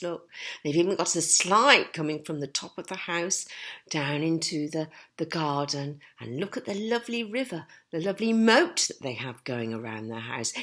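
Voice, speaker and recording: A woman's voice: low-pitched, fairly bright tone. A woman storyteller reading expressively to young children, with chatty questions and asides to them. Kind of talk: animated storybook narration with asides to listeners.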